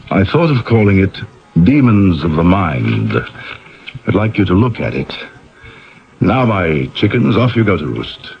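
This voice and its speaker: An actor playing a middle-aged writer in a 1970s radio drama, theatrical, bitter and sardonic, fond of grand pronouncements. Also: male voice, lowish voice. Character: brooding, grandiloquent male author, bitter and sardonic. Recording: dull lo-fi 1970s radio broadcast.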